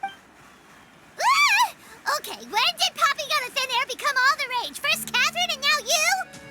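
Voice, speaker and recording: A woman voicing a tiny, cheeky, excitable fairy companion in a video game, with a squeaky childlike voice. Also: unhurried, exaggerated voice. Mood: startled. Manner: refers to self in third person, blurts things out. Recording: loud game music behind the voice.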